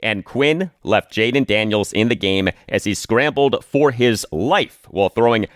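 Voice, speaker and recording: A man with a lowish voice hosts a sports podcast alone. His pitch moves around in a lively way as he punches key phrases and pauses for drama. The sound is close and dry. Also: no echo. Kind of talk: solo sports commentary, reading listener emails and sponsor spots aloud.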